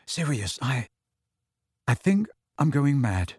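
A man voicing an anxious teenage boy who speaks hushed and shaky, barely above a whisper. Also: medium pitch, sing-song, slow pace, husky, dry recording, studio quality, full character voice. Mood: frightened.

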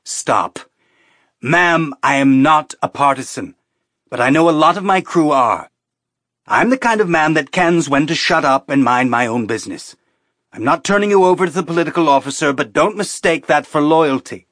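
Man acting out gruff, angry male spaceship captain. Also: a little high, animated, moderate pace, a bit bright, no echo, studio quality, voice pushed up. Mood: firm, exasperated. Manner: gruff, blunt, defiant. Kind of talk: audiobook character acting.

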